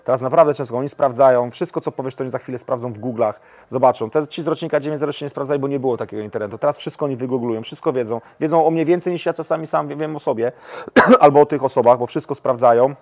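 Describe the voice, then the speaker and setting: A man's voice: mid-range voice, rapid-fire. A man in his forties, a football coach, answering interview questions in a lounge, often repeating words and restarting his sentences. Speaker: national women's team coach. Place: lounge with armchairs, indoors.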